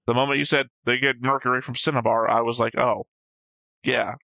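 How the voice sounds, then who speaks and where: Bright-voiced man talking things through casually. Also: mid-range voice, flat delivery, fairly quick, vocal fry, dry recording. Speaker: host of an anime reaction show. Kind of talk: casual discussion and analysis of an anime episode.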